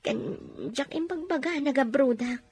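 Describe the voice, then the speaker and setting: Napaut a babae, nangato ti timekna. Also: female voice, high-pitched, measured pace, darkish tone. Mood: insistent, scheming. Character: scheming, insistent woman secretary, plotting and bossy. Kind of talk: Ilocano radio drama acting.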